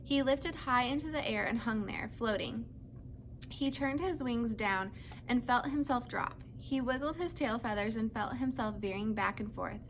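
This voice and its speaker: A woman's voice: a little high, normal speed, slight background noise, low-quality recording. A woman narrating a children's book as a read-aloud, telling the story to young listeners. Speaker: storybook reader.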